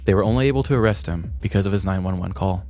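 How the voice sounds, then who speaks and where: Casting: male podcast narrator, 30s, lower register, mock-dramatic comic crime storytelling over light music. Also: racing, dark tone. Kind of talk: scripted true-crime comedy narration. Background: music bed.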